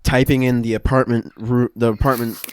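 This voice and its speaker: A man's voice: mid-range voice, flat delivery, a little fast, a little hiss or hum. A man improvising scene narration for a comedy podcast, casual and feeling his way through the story.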